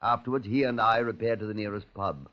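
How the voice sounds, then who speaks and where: Actor as wry, low-voiced inspector. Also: male voice, fast, close to an everyday voice. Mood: wry, measured. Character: dry, courteous middle-aged Scotland Yard chief inspector narrating his case. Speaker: detective narrator. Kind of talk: 1950s radio crime drama, first-person narration and dialogue. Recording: dull, muffled 1950s radio recording.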